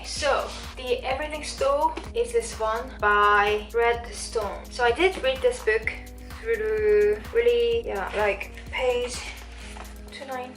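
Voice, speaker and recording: A woman from South Korea with a Korean accent, chatting casually as she reviews books to camera, often circling back to rephrase. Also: medium pitch. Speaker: video blogger. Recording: roomy echo, distant mic, faint music.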